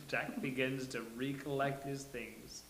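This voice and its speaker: A man's voice: mid-range voice, fairly even intonation, slow pace, gravelly, fairly bright tone, a little hiss or hum. A man reading stage directions as the host of a live table read. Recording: roomy live recording.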